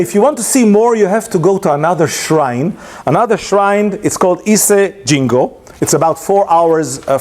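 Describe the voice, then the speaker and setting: A man giving an informal lecture, telling a story with many hesitations and restarts, English not his first language. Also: sits high. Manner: animated storytelling with laughs. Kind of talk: informal lunchtime talk.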